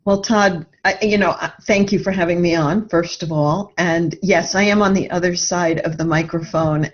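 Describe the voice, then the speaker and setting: A woman with a lowish voice speaks as an interview guest, explaining things reflectively and conversationally. The sound is a little muffled. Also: steady tone, moderate pace. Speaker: podcast guest, author.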